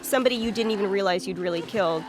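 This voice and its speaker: Woman w average pitch chatting thru game cutscenes, earnest, kinda analytical, dev commentary style. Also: very fast, background noise.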